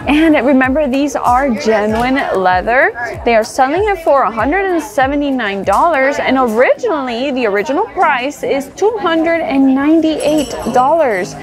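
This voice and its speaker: A woman's voice: high voice, lively intonation, normal speed, music in the background. A woman giving a casual, chatty store tour, thinking aloud and gushing over items as she browses. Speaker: shopping vlogger. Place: discount store.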